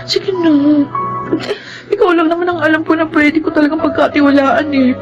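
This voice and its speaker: A woman's voice: sits high, fairly even intonation, normal speed, highs cut off, natural voice. A woman acts out a self-excusing Filipino mother in an audio melodrama. She sounds like an everyday adult, dramatic in delivery, with faint music behind her. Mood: pleading. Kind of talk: Filipino radio-style melodrama acting.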